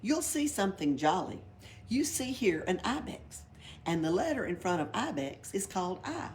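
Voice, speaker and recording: A woman reading a storybook, voicing a brisk, impatient female schoolteacher with an instructive manner. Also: medium pitch, sing-song, darkish tone, close to an everyday voice. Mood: brisk, cheery. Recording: background noise, library read-aloud.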